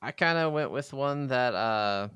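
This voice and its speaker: Guy cohosting a gaming podcast, smooth clear voice, rambly and sweary, really dry sound. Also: medium pitch, expressive, unhurried, fairly bright tone, no echo. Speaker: podcast co-host. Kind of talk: casual chat about video games.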